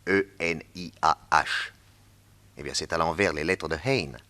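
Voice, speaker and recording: An actor playing a shrewd, calm male detective in a radio drama, speaking in a low, measured way. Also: full character voice. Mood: calm, probing.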